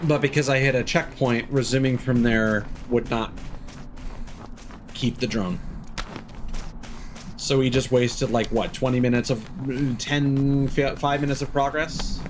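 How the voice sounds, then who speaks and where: A man's voice: mid-range voice, steady tone, a little slow, long pauses, slight background noise. A man giving live gameplay commentary, thinking aloud and correcting himself in an exasperated, complaining way. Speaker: game streamer.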